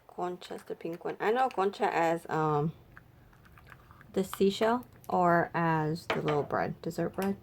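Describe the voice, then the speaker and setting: A woman makeup vlogger chatting casually and thinking aloud, her voice a little raspy and stuffy from a cold. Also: fairly low pitch, a little slow, slight background noise. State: slightly raspy, congested from a cold. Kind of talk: casual makeup swatch review chat.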